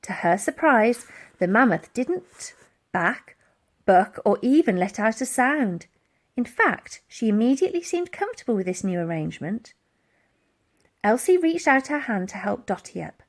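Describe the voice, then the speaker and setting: A woman narrating a children's adventure story in an animated storytelling style. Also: fairly high pitch, measured pace. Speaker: audiobook reader.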